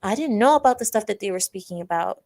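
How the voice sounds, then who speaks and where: A woman's voice: mid-range voice, animated, fast talker, darkish tone. Chatty young woman laughing on a stream. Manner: chatty, laughs often, acts out quoted classmates. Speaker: livestream host. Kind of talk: casual storytelling and reading chat.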